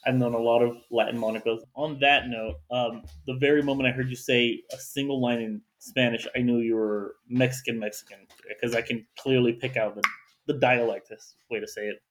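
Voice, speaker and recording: A man holds forth at a home dining table in a relaxed group conversation. He speaks with conviction and is quick to set others straight. His voice sits at a middling pitch. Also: a little hiss or hum. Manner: emphatic, quick to correct others. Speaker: guest. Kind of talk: casual group chat.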